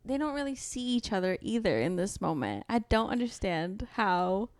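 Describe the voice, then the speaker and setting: Woman, book podcast co-host, casual chat, mid pitch, very varied intonation, questioning, matter-of-fact. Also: sing-song, normal speed, darkish tone, dry recording. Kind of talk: casual chatty book discussion.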